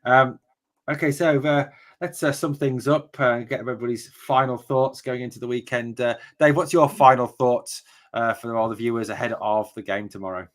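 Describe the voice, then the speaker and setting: A man hosting a casual fan podcast, speaking haltingly with many fillers and restarts. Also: medium pitch, steady tone. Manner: halting, lots of fillers and repeated starts. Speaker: podcast host.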